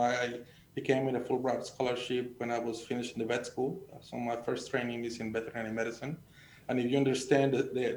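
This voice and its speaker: Male panel guest, middle-aged, Mexican accent. Earnest, halting storyteller with narrow intonation, recorded in a roomy space. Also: a little mellow. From Sonora, Mexico. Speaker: panel guest, agricultural extension educator. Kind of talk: conversational personal storytelling. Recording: echoey room, faint noise and rumble.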